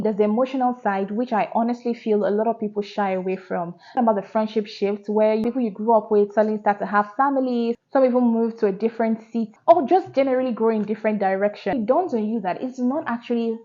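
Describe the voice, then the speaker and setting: A woman's voice: fairly high pitch, brisk, few pauses, warm tone, dull audio. A woman, talking candidly to her vlog audience and asking rhetorical questions. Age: 30s. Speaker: vlogger. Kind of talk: casual reflective talk to camera.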